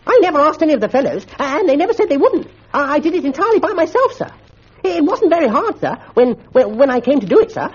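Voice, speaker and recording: A man voicing a fat, greedy, boastful schoolboy with a high, squeaky, stammering voice. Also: sing-song, quick pace, muffled recording. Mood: nervous.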